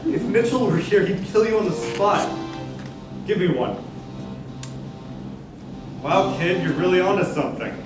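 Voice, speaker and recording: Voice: medium pitch, lively intonation, measured pace, long pauses, lightly acted. Young performer as a blunt mall manager, echoey room. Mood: blunt, teasing. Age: young adult. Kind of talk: musical theatre staged reading. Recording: distant, echoey room with loud music.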